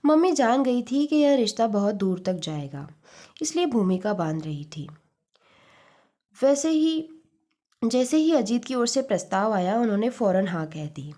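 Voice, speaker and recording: A woman's voice: a little high, big pitch swings, unhurried, dark tone. A woman reading a short story aloud in a scripted audiobook narration style.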